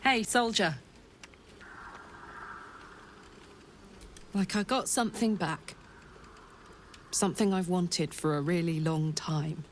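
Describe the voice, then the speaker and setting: A woman's voice: on the low side, measured pace, a little hiss or hum, close to an everyday voice. A woman playing a big-hearted, brash soldier in a video game, speaking earnestly and bluntly with playful banter. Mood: tender, moved. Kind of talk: video game character dialogue.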